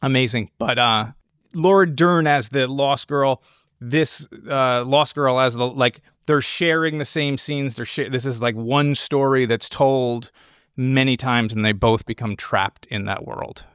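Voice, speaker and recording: A man's voice: mid-range voice, measured pace, fairly bright tone, smooth, dry recording, clean studio sound. A man hosting a casual film discussion, thinking aloud in rambling, speculative explanations. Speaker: podcast host analyzing a film.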